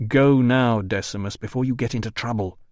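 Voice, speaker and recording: A weary, patient doctor speaks in short, firm sentences, as a man reads him. His tone is even and controlled. The recording is close and dry. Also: medium pitch, brisk, dry recording, close to an everyday voice. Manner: firm, tired, clipped.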